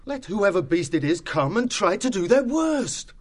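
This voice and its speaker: A man acts out a young woodland creature in captivity, with a fairly high male voice. The delivery is grim and determined, ready for a fight, in storybook style. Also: expressive, normal speed. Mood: resolute.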